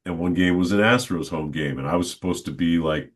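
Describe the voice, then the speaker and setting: A middle-aged man, a stadium announcer, telling stories in a relaxed, good-humored interview. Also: sits low, fairly quick. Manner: good-humored, reflective, talks at length. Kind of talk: casual storytelling in an interview.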